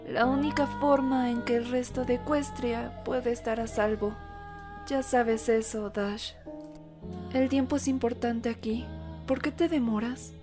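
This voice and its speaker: A woman voicing a grave, rational female unicorn pony in a solemn, measured cartoon fandub. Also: on the high side, steady tone, over music, close to an everyday voice. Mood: grave, urging.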